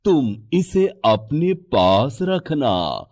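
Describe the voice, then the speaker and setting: Man as a very slow, kindly old holy man. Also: mid-range voice, sing-song, dry recording, heavily acted. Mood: kindly, solemn. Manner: slow, gentle, blessing, deliberate. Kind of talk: moral story acting.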